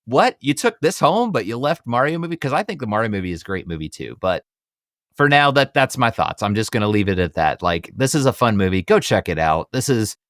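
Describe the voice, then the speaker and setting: A man's voice: fairly low pitch, sing-song, a little fast, no echo, studio quality. A man in his thirties hosting a podcast, chatting casually about films in a rambling, emphatic way. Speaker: podcast host. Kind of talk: casual film review chat.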